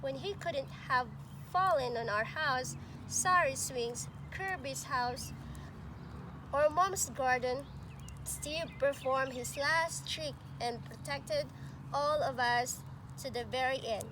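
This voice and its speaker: A woman reading a picture book aloud expressively like a pre-K teacher, pausing to address and question young listeners. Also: high-pitched. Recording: clearly noisy amateur recording.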